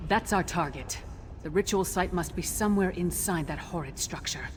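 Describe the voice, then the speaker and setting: An actress playing a tough, battle-hardened warrior scout in a video game, blunt, commanding and dry. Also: female voice, lowish voice, normal speed, slight background noise, lightly acted. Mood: commanding, practical. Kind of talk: video game quest dialogue.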